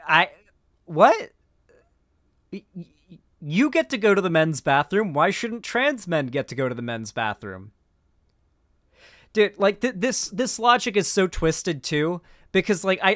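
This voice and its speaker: A man in his twenties with an American accent, giving indignant, sarcastic reaction commentary as a live-streamer, halting and swearing, close on the mic. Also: sits high, fairly bright tone, no room sound. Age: 20s. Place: small home streaming room. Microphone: close boom mic.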